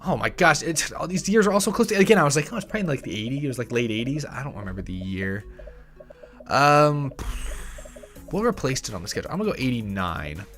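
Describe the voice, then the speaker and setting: A young man in his twenties hosting a casual livestream, thinking aloud and correcting himself, a bit hoarse, on a close studio mic in a small room. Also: mid-range voice, sing-song, quick pace, fairly bright tone, soft background music. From United States. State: slightly hoarse, tickle in throat. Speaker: livestream host. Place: small home streaming room. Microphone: headset and studio mic on arm.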